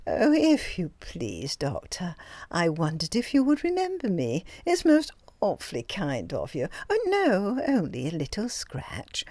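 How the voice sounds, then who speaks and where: A woman's voice: lowish voice, huge pitch swings, unhurried, warm tone, no echo, heavily acted. A woman voicing a timid society woman with a sugary, wailing voice. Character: frightened, whining society woman.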